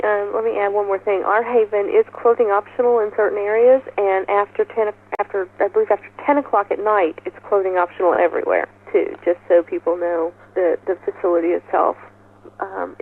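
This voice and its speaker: A woman's voice: medium pitch, fairly even intonation, brisk, slightly rough. A woman talking conversationally over the phone as an interview guest promoting an event. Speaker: festival organizer, interview guest. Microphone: telephone. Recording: muffled, band-limited phone line.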